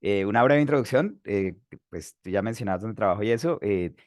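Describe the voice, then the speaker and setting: Low-voiced Colombian man giving a webinar. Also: lively intonation, a little fast, no room sound, clean studio sound. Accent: Bogotá Colombian Spanish. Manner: hesitant, many fillers and restarts. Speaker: webinar speaker, geotechnical engineer. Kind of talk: webinar lecture.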